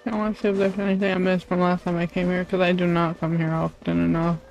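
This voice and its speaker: A woman's voice: on the low side, level tone, quick pace, vocal fry, a little mellow, muffled recording. A woman livestreamer giving casual, self-deprecating, grumbling commentary while she plays a game. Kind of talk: casual gaming stream commentary. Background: game music.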